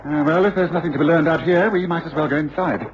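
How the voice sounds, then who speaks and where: A man's voice: a little high, quick pace, close to an everyday voice. An actor playing a methodical, courteous male detective in a radio drama, musing aloud with hums and ahs. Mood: musing, inquisitive. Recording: muffled radio recording with faint hiss.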